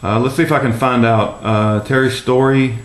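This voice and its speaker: A man's voice: medium pitch, steady tone, normal speed. American man, earnest caller in echoey room. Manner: hesitant, repetitive, polite. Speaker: activist caller phoning a congressman's office. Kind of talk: earnest phone conversation, advocacy. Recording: roomy recorded phone call.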